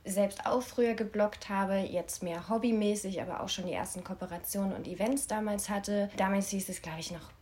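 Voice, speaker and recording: A woman's voice: medium pitch, steady tone, normal speed, hardly any pauses, darkish tone. A young adult woman from Berlin talking casually and candidly as a podcast guest about her own experiences. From Berlin, Germany. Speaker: podcast guest, influencer marketer. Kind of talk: casual conversational interview.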